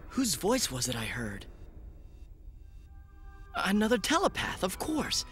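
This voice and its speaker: An earnest young cadet from a dubbed sci-fi anime. His voice sits fairly high and moves freely in pitch. He sounds thoughtful and sincere, with music clearly playing behind him. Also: wildly swooping pitch, slow pace, fairly bright tone, heavily acted. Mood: wary, puzzled. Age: young adult. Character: earnest young male telepath cadet, orphaned villager. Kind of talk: English anime dub acting.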